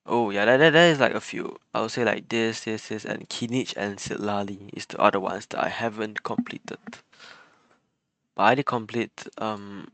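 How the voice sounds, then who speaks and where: A man live-streaming a video game, chatting casually and thinking aloud in a halting way. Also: lowish voice, a bit bright, no room sound. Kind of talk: casual live-stream chat while gaming. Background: faint game music.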